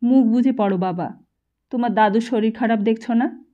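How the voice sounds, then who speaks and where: A woman voicing a gentle, humble, devoted mother in an audiobook, speaking in a reassuring, admonishing way. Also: on the high side, normal speed, highs cut off, natural voice. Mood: gently admonishing. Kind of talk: audiobook character voice.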